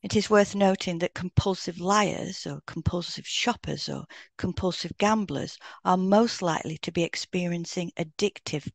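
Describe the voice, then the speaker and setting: A woman's voice: lowish voice, vocal fry, dry recording. Woman explaining in a dry, close recording. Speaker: podcast co-host, hypnotherapist. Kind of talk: scripted educational podcast read aloud in turns.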